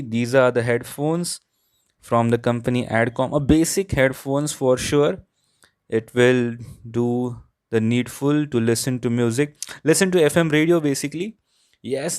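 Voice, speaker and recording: Male tech reviewer, mid-pitched crisp voice, plain explanatory delivery for casual unboxing commentary. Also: a little mellow.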